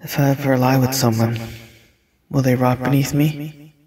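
An actor playing a brooding, self-conscious young necromancer in a horror audio drama, speaking introspectively with a husky voice. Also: male voice, medium pitch, fairly even intonation, moderate pace, a little mellow, lightly acted. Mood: self-doubting. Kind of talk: audio drama inner monologue.

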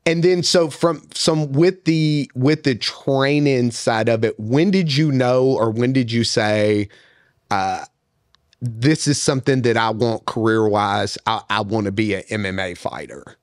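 A man in his thirties co-hosting a casual podcast interview, asking rambling questions full of fillers into a studio boom mic. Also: a little slow, no echo. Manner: laid-back, rambling questions with many fillers. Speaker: podcast co-host. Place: studio set with couch. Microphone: boom mic with headphones.

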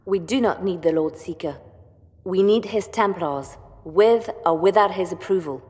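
Woman acting a composed female spymaster, lowish voice, measured, persuasive, game drama, long stone-hall reverb. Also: darkish tone, natural voice. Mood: firm. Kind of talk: dramatic video game dialogue.

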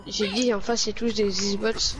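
A man's voice: sits high, level tone, measured pace, over a noisy crowd. A man with a stuffy cold talking in casual game voice chat. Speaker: teammate in voice chat.

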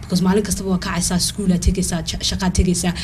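A young woman on a studio panel, talking quickly and switching between English and Somali within sentences. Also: fairly low pitch, little pitch movement, darkish tone, a little hiss or hum. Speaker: panel guest. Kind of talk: panel discussion. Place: TV studio, indoors. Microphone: handheld mic.

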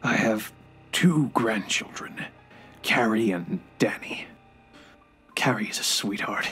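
An actor playing a frail, bitter, remorseful old grandfather in an audio drama, halting and irritable, sometimes hushed and breathy. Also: lowish voice, soft background music, full character voice. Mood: wistful, remorseful.

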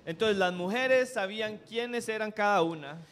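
Brief: thirties man, live stage interpreter, high voice, self-correcting restarts. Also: normal speed, a bit bright, clear, resonant tone. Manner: follows each phrase, with restarts and self-corrections. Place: stage in a hall. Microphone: handheld mic.

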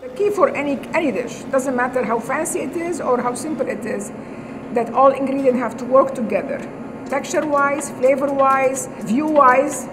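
A woman's voice: sits high, normal speed, noisy background. A middle-aged woman from Syria with an Arabic accent, a chef telling her story earnestly in a documentary interview, with many "you know"s. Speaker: chef and restaurant owner. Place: empty restaurant dining room. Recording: documentary interview with music underneath. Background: music.

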